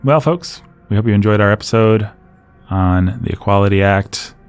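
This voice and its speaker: A man hosting a podcast, talking in a relaxed conversational way and laughing now and then. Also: on the low side, moderate pace, clear, resonant tone, soft background music. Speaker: podcast host. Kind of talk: casual discussion.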